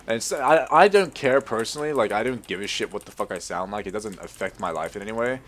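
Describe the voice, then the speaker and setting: A young man with a low voice and an American accent, talking over a video game at his computer with keyboard clicks around him. He rambles, restarts his sentences and swears casually as he goes. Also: a bit bright, slight background noise. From Australia. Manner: rambling, profane, halting restarts. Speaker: gaming YouTuber. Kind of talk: casual commentary over gameplay.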